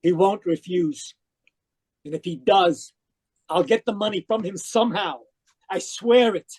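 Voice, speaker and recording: A man acts out a fanatical younger brother in a radio mystery play. His voice sits high for a man, with fervent, pleading intensity and an obsessive, desperate drive. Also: unhurried, darkish tone, breathy edge. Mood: urgent, determined. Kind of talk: old-time radio mystery acting, dramatic narration. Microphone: home microphone on a video call.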